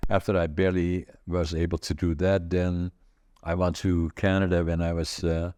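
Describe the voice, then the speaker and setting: A man in his eighties with a Dutch accent, telling stories from his life in a halting, rambling way, full of fillers and restarts. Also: sits low, flat delivery, darkish tone, a little hiss or hum. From Northern Netherlands. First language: Dutch regional dialect. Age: 80s. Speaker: podcast host. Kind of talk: casual conversational storytelling.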